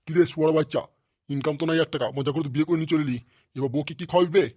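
A man's voice: fairly high pitch, steady tone, very fast, darkish tone, dry recording, studio quality, close to an everyday voice. A man voicing a bossy, blustering village man in a comedy cartoon, with crude speech. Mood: scolding. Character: bossy, foul-mouthed village man at a wedding. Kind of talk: amateur comedy cartoon voice acting.

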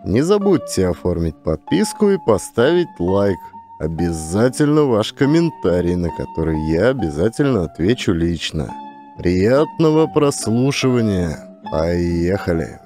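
A man's voice: low voice, sing-song, measured pace, warm tone, soft background music. A man narrating a literary audiobook as an actor reading from a script.

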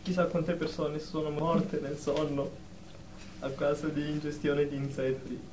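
A jittery, defensive patient played by a man in a radio drama, pleading and easily riled. His voice is a little high, heard off-mic in an echoey room over clear noise. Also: flat delivery, a little slow, close to an everyday voice. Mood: frightened. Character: anxious, defensive, short-tempered male patient afraid of sleep. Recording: echoey, distant, noisy amateur recording.

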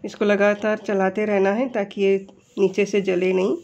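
A woman with an Indian accent explains cooking steps in a mid-pitched voice. She speaks as a home cook guiding viewers through each stage. The audio is slightly dull and lo-fi. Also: flat delivery, moderate pace, a little mellow. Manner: explanatory, step-by-step. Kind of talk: instructional recipe voice-over.

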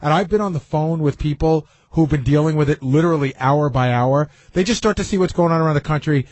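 Quick chatty radio guy, slightly high voice, casually explaining stuff, dry sound. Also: a little mellow, dry recording. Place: radio studio.

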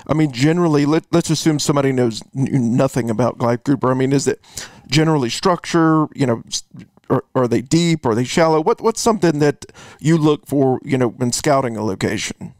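A man hosting a casual interview podcast, halting and rephrasing as he goes. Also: mid-range voice, a little mellow, no room sound, clean studio sound. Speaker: podcast host.

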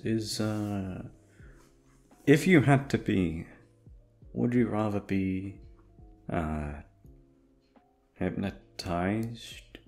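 A man with a deep voice, sleepy, rambling casually to his listeners in an offhand, hesitant, sweary way. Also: gravelly, darkish tone. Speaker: audio creator talking to listeners.

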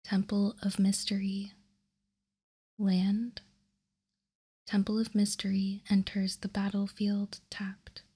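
A woman whispering softly and deliberately in ASMR style, close to the microphone as she hosts. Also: mid-range voice, husky. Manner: soft, deliberate, unhurried whispering. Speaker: host. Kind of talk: whispered ASMR, reading trading cards aloud.

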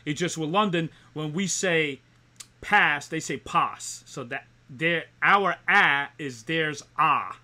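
A man's voice: fairly high pitch, slow, sharp tone. A man hosting a casual interview over a video call from a dim room at home, asking questions in a chummy, easygoing way. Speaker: talk show host. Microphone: laptop webcam on a video call.

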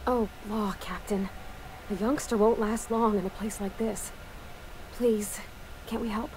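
A woman's voice: medium pitch, fairly even intonation, measured pace, a little hiss or hum, lightly acted, poor audio. A woman playing a kindhearted, earnest, folksy companion in a video game. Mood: worried, pleading. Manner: gentle, folksy. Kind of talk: video game character dialogue. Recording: game music behind.

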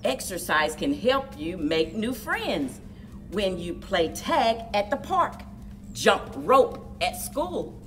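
A middle-aged woman speaking to young children as a story time host, with exaggerated, drawn-out storyteller delivery. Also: mid-range voice, very animated, fairly bright tone, recorded from a distance, soft background music. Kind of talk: expressive picture-book read-aloud and leading action activity for children. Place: indoors, children's library room.

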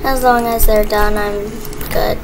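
A woman's voice: mid-range voice, level tone, unhurried, background noise. A young woman in casual, playful role-play chat with a friend while gaming, with a teasing way of talking. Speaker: gamer playing a role-play game with a friend.

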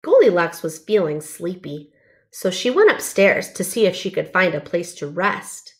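A woman's voice: fairly low pitch, big pitch swings, moderate pace. A woman narrating a children's storybook, reading aloud carefully and expressively.

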